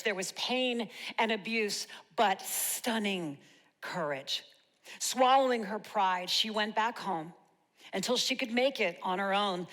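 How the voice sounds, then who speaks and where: A woman in her fifties performing a rehearsed, rhyming spoken-word monologue with dramatic, deliberate pauses. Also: medium pitch, a bit bright. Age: 50s. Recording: long hall reverb.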